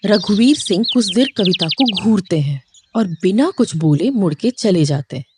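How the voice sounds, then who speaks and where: A woman's voice: medium pitch, animated, moderate pace, a little mellow, husky. A woman narrating a cartoon moral story in a scripted storybook style.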